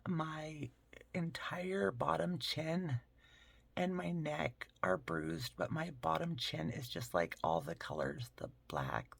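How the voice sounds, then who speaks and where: A hoarse woman hosting a casual craft vlog, chatting in a rambling way and often dropping into a whisper. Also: low-pitched, dry recording. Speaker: craft channel host.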